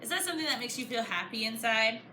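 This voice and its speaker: A woman reading a storybook aloud to a class of children, pausing to put questions to them in an encouraging, teacher-like way. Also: on the high side, expressive, music in the background. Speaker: author reading her book to a class. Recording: echoey room, clear background noise. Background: children answering, room noise.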